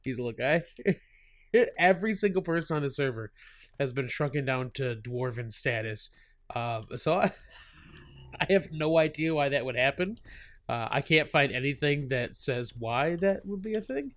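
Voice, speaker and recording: A man's voice: mid-range voice, animated, moderate pace, no echo. A man with a stuffy cold hosting his gaming channel, chatting casually over gameplay, laughing often and full of fillers and restarts.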